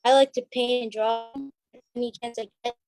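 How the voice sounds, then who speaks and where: A woman's voice: on the high side, flat delivery, moderate pace, a little mellow, dry recording, soft background music. A woman who performs on stage, chatting casually as an interview guest. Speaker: stage play cast member being interviewed. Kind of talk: casual chat.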